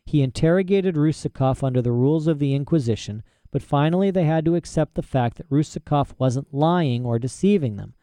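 A man doing a cold, calm aristocrat. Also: on the high side, clear, resonant tone, dry recording, close to an everyday voice. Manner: quiet, measured, detached.

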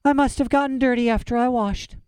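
A man's voice: very high voice, steady tone, fairly quick, a little mellow, clear, resonant tone, no room sound, voice pushed up. A man reading aloud voices a curious, defiant young girl who answers questions evasively, then eagerly.

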